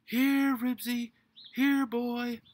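A man voicing a frantic young boy who shouts urgently after his runaway dog in a storybook reading. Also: very high-pitched, level tone, drawn-out words, a little hiss or hum, voice pushed up, full character voice. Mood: frantic calling.

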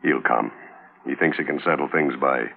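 A scheming western cattle boss, with an extremely low, creaky voice. He is impatient and commanding. The sound is that of an old, narrow-band radio drama broadcast. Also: moderate pace, heavily acted. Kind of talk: 1950s radio drama acting.

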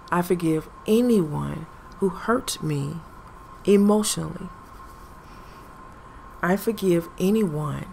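A woman reciting affirmations in a meditative style, with a creaky voice. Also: lowish voice, low-quality recording. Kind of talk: slow spoken affirmations, meditation. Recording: ambient noise behind voice.

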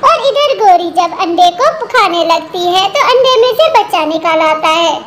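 A woman's voice: very high-pitched, lively intonation, a little slow, fairly bright tone, slight background noise. A woman narrating a children's cartoon story.

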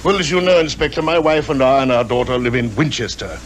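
A man's voice: mid-range voice, lively intonation, fast, close to an everyday voice. A middle-aged actor with a British accent playing a polite, troubled upper-class father in a 1950s radio drama. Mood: troubled, earnest. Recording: noisy 1950s radio recording.

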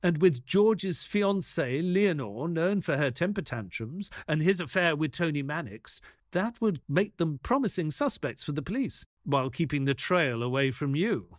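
Actor as an earnest, animated detective's sidekick. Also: male voice, a little high, moderate pace, dry recording, studio quality, close to an everyday voice. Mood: reasoning. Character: earnest male detective's sidekick narrating the case. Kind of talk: audio play acting and narration.

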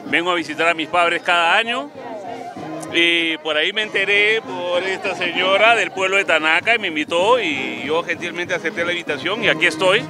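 A middle-aged man from Brazil speaks in a high, animated voice as a jovial interview guest. He is at an outdoor festival, with music clearly playing behind him. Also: moderate pace. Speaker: visiting guest. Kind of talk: casual interview chat. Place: outdoors at a crowded festival table. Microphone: interviewer's handheld microphone. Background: music and outdoor sounds.

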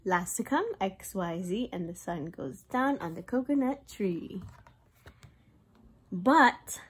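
A woman's voice: mid-range voice, lively intonation, takes it slowly, slightly rough. A young woman, a preschool teacher, reads a picture book aloud in a sing-song way for young children. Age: young adult.

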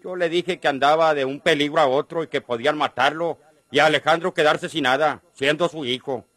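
An actor playing an insistent, wheedling rustic schemer in a Mexican radio drama, with a northern Mexican accent and a folksy, coaxing manner. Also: male voice, a little high, fairly even intonation, a little fast, a bit bright, dry recording, natural voice.

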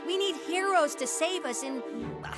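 A woman's voice: extremely high pitch, moderate pace, over music. A woman voicing a small, polite, bumbling creature chief in a game, ceremonious and awkwardly apologetic. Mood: pleading, admiring. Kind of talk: animated video game cutscene acting.

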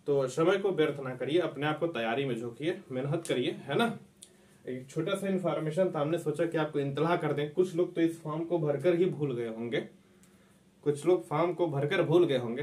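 A man's voice: on the high side, a little mellow. A man, lecturing informally as an exam coach, emphatically repeating key phrases. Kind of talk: informal whiteboard lecture to camera. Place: small indoor classroom at a whiteboard. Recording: echoey room, distant microphone.

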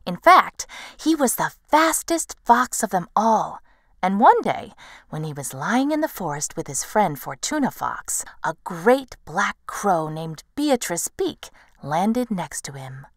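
A woman storyteller narrating a bedtime tale in a gentle, measured manner. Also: mid-range voice, sing-song, dry recording, studio quality. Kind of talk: slow bedtime storytelling in an animated game.

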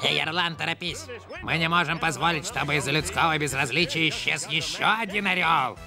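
A gruff cartoon eagle boss, gravelly and slightly high in pitch. He sounds urgent and bossy. Loud music plays underneath him. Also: a little fast.

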